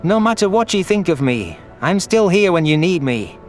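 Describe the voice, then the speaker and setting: Man voicing an intense, bitter young alien soldier, raised pitch. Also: sing-song, moderate pace, soft background music. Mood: bitter, pleading. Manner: intense, defensive. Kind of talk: sci-fi audio drama dialogue.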